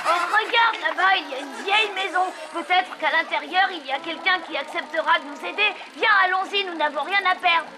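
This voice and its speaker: A woman's voice: very high voice, a little fast, few pauses, loud music behind the voice. A woman voicing a theatrical, overdramatic young zombie girl in a cartoon. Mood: melodramatic. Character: melodramatic young zombie girl hamming it up in amateur film. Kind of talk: cartoon voice acting.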